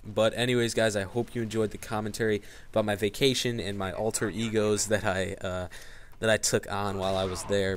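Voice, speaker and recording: A young man speaks in a somewhat low voice, chatting straight to his audience like a casual vlogger. He rambles, restarts sentences and fills gaps with "you know" and "uh". A faint background noise sits under his voice. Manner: rambling, with frequent fillers and restarts. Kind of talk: casual storytelling to camera.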